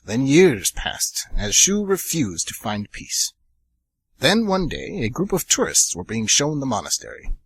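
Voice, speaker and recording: Male narrator for an audio drama, animated delivery, a bit breathy. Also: mid-range voice, no room sound. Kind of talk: scripted storybook narration.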